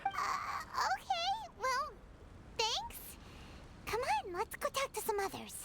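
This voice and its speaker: Squeaky, tiny, excitable fairy companion. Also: female voice, heavy background noise, heavily acted. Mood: agreeable, polite. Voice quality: squeaky, childlike. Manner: perky, exclaiming, drawn-out words. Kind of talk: scripted anime game voice acting. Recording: prominent music behind the voice.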